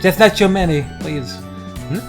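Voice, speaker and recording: A man reading a visual novel aloud as a let's play host, mixing narration with casual, excitable commentary. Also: high-pitched, moderate pace. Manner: excitable outbursts between narrated lines. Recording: game music behind the voice. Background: game background music.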